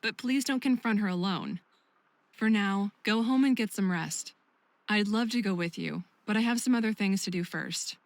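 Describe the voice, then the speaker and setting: A woman's voice: mid-range voice, moderate pace, dry recording, natural voice. A woman playing a caring, protective young best friend in a visual novel game, earnest and emotive. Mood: reassuring.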